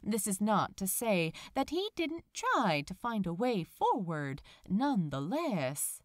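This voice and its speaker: A woman reading fiction aloud as a narrator, in an expressive, measured storytelling manner. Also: medium pitch, dry recording, studio quality. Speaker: podfic narrator.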